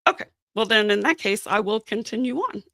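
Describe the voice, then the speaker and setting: A woman's voice: mid-range voice, lively intonation, fairly quick, vocal fry, sharp tone, dry recording, clean studio sound. A woman hosting a casual live-stream chat on her channel, losing her voice and sounding scratchy, rambling along with plenty of fillers. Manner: chatty, rambling with many fillers. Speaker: channel host. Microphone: podcast microphone.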